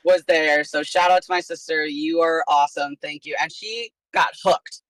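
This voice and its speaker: A young woman with a deep voice that is raspy from shouting, talking loudly and eagerly with lots of "like" as an interview guest. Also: fairly even intonation, moderate pace, dry recording, poor audio. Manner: loud, enthusiastic, lots of "like". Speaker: strongman athlete guest. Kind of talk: casual interview chat.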